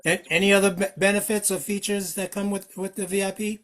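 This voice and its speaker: Higher-voiced man, halting caller. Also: little pitch movement, fairly bright tone. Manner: halting, stumbles over word starts. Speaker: caller asking a question. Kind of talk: question on a group call.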